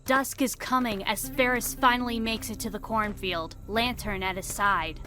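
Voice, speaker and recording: Lady narrating an audio drama, bright voice, storybook style, faint music behind. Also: fairly high pitch, steady tone, moderate pace.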